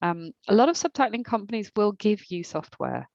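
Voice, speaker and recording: A woman's voice: mid-range voice, very animated, normal speed, creaky, darkish tone, no echo, highs cut off. A woman with a slight accent, answering audience questions as a subtitling trainer in a webinar, with many ums and restarts. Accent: slight non-native accent.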